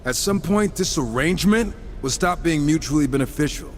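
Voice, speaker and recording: A man's voice: fairly high pitch, lively intonation, normal speed, a little hiss or hum, natural voice. An actor playing a cocky, irreverent gunslinger horseman in a video game, with a sarcastic, breezy delivery. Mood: sardonic. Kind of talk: video game cutscene acting.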